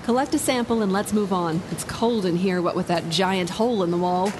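An actress playing a calm, decisive female captain of an undersea rescue team in an audio drama. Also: medium pitch, in a large hall, background noise, natural voice. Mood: brisk. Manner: brisk, commanding, lightly wry.

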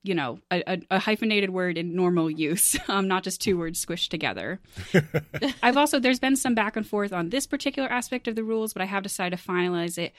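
A woman's voice: medium pitch, dry recording, clean studio sound. A woman hosting a casual panel game, chatty and jokey, often laughing as she explains and runs things. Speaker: game show host.

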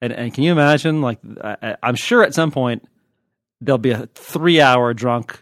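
A man's voice: mid-range voice, moderate pace, fairly bright tone, dry recording. American man in dry, close podcast sound. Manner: wry, joking. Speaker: TV critic and podcast host. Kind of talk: casual podcast chat.

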